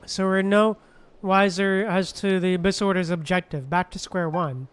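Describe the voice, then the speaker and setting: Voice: medium pitch, fairly even intonation, normal speed, clear, resonant tone. A streamer giving casual, slangy, laid-back commentary while playing a video game. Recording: game music behind the voice.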